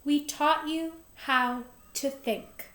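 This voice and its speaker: A woman's voice: sits high, fairly even intonation, takes it slowly, a bit bright, reverberant. A woman playing a reassuring, exuberant female schoolteacher in a children's storybook, speaking with encouraging emphasis. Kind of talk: children's storybook read-aloud.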